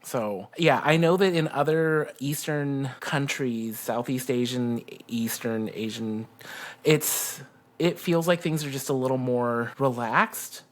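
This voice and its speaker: A podcast co-host with a medium-pitched voice chats casually. The delivery is informal and giggly, with an easy storytelling flow of personal anecdotes. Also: slow. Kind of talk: casual chat.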